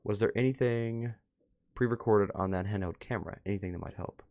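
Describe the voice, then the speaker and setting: A man with a fairly low voice hosts a reaction video in a casual way. He thinks aloud and mutters asides, and the recording is close and dry, with very little room sound. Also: fairly quick, darkish tone, no echo, lo-fi sound.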